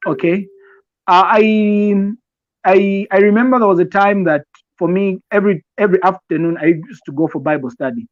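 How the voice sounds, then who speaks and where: A man from Zambia with a Zambian accent, giving conversational advice as a podcast co-host, explaining deliberately and haltingly with repeated phrases. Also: high voice, dry recording, lo-fi sound. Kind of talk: conversational advice talk with personal examples.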